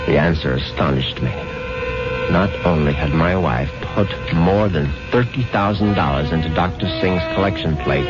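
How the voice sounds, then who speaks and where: An actor playing a sardonic, money-minded middle-aged American husband in an old radio drama, with a wry theatrical delivery. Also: male voice, sits low. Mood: wry narration. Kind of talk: 1950s radio drama acting with first-person narration. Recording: muffled vintage radio recording with music.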